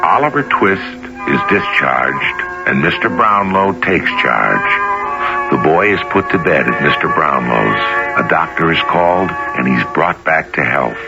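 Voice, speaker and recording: A man hosting a radio drama, reading scripted narration in a measured, theatrical way. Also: a bass voice, lively intonation, gravelly. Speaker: host and narrator. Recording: dull lo-fi radio recording. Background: music.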